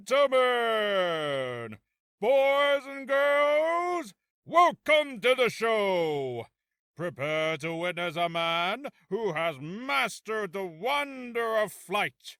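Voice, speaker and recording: A man playing a theatrical magic show announcer from a video game, with grand, booming showmanship. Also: expressive, drawn-out words, vocal fry, pauses often, sharp tone, clear, resonant tone, no echo, studio quality, heavily acted. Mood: grandiose. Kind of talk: video game character acting.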